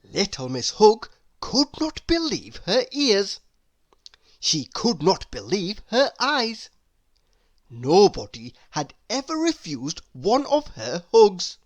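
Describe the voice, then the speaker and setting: A woman narrating a children's story in an expressive, emphatic read-aloud style. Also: medium pitch, takes it slowly, no room sound. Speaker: storybook reader.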